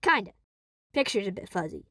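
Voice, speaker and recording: A woman voicing a quirky male radio hobbyist living off the grid, talking casually and dropping to a hush for secrets. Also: medium pitch, big pitch swings, a little fast, no echo, studio quality, voice pushed down. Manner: casual, hushed when sharing secrets.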